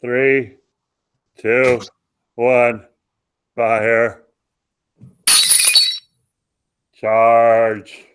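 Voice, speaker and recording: Hapless male soldier played by an actor: very slow, hesitant, deadpan complainer in a radio comedy. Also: medium pitch, very noisy. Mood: half-hearted commanding. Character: deadpan, hapless soldier preoccupied with minor discomforts. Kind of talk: comic radio play acting.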